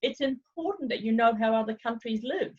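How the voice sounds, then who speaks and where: A woman's voice: a little high, brisk, slightly rough. Chatty New woman on a lo-fi video call. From New Zealand. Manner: lively, laughs often, digressive. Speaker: travel agency owner. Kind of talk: remote interview, chatty. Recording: dull lo-fi video call audio.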